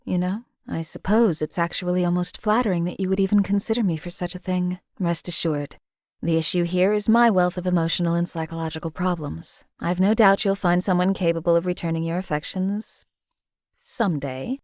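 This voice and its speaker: A woman plays a cold female assassin for hire in a video game, her voice pitched low and recorded close and dry. She speaks in a deadpan, measured way and keeps every feeling out of it. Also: dry recording, natural voice. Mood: coolly detached. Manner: deadpan, measured, emotionally flat. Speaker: game companion voice actor.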